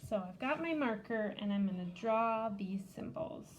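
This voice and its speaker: A woman's voice: mid-range voice, lively intonation, moderate pace, highs cut off, slight background noise. A woman hosting a children's library storytime, casually showing a craft and game step by step in simple words.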